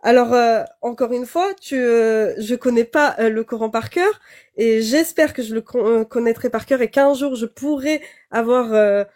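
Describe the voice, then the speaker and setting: A woman's voice: on the high side, moderate pace, darkish tone. A young French-speaking woman on a live online debate, talking hesitantly with many fillers and restarts. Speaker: caller.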